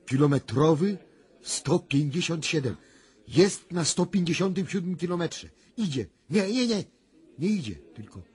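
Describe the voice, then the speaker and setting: An actor playing a mysterious, intense clairvoyant in a radio drama, speaking deliberately with dramatic, weighty pauses. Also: male voice, high-pitched, breathy edge, exaggerated voice. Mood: entranced, alarmed. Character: male clairvoyant, solemn, intense, mysterious seer. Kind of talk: 1990s radio drama acting.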